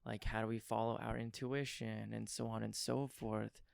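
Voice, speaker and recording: A young adult man hosting a podcast interview, talking in an earnest, effusive way with a voice worn hoarse. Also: on the low side, little pitch movement, normal speed, dry recording, studio quality. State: partly lost voice. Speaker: podcast host. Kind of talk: casual interview chat.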